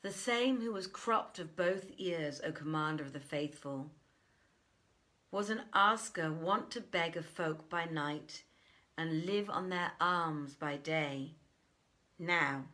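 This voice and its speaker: A woman narrating an old tale in an expressive, theatrical storybook style with deliberate phrasing. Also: low-pitched.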